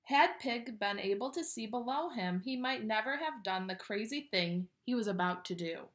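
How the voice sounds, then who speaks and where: A woman reading a children's picture book aloud with expressive, dramatic storytelling delivery. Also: on the high side, normal speed.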